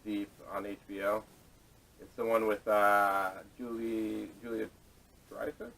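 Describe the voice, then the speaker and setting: A man hosting a casual podcast discussion, speaking haltingly with repeated words, picked up by a distant camera microphone. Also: fairly low pitch, little pitch movement, vocal fry, sharp tone, slight background noise. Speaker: podcast host. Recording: distant, salvaged camera audio.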